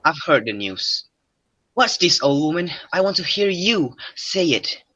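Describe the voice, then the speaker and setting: A young man playing a proud, suspicious, quick-tempered king of ancient Greece in an amateur radio drama. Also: fairly high pitch, big pitch swings, sharp tone, no room sound, exaggerated voice. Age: young adult. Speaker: student actor. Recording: dull lo-fi recording.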